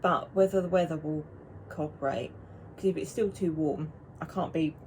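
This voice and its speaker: Knitting vlogger, woman, lower voice, chatty, restarts her sentences, quiet room hum. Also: steady tone, moderate pace. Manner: chatty and rambling, with frequent restarts. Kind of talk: casual chat to camera.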